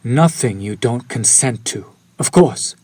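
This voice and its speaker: A man's voice: medium pitch, animated, unhurried, a little mellow, dry recording. A man playing a courtly, centuries-old vampire in an intimate audio roleplay, speaking to the listener with formal, old-fashioned politeness. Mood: courteous, eager.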